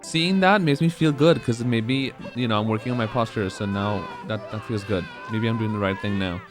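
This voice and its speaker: A man's voice: on the low side, a little fast, over music. A man in his twenties speedrunning on a live stream, rambling casually and answering chat between moves.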